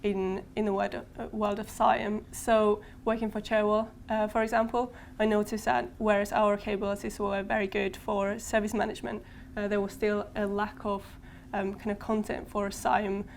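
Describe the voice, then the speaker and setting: Soft, flat-voiced Finnish-born woman. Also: medium pitch, normal speed, gravelly, hardly any pauses, a little hiss or hum. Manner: thoughtful, hesitant with frequent ums. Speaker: guest. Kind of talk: conversational podcast interview. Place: conference venue.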